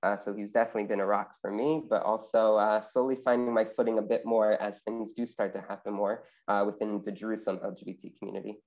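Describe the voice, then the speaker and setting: A man in his twenties from Connecticut introducing himself on a panel, relaxed, with frequent ums and uhs. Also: fairly low pitch, quick pace, few pauses, highs cut off. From Connecticut, USA. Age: 20s. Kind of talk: personal introduction.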